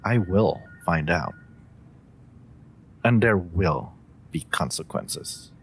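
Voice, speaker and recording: A man's voice: lively intonation, slow, slightly rough, soft background music, exaggerated voice. A man playing a polite, theatrical supervillain in a scripted audio roleplay, speaking courteously with hesitant asides and faint menace. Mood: serious, warning. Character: polite, theatrical male supervillain interviewing a job applicant. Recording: faint background ambience and rumble.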